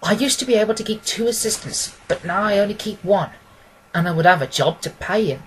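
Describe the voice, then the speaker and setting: A woman's voice: mid-range voice, brisk, slightly rough, pitched above the performer's own voice, close to an everyday voice, poor audio. A woman voicing a plain-spoken, earnest male pawnbroker who rambles a little, in a storybook reading.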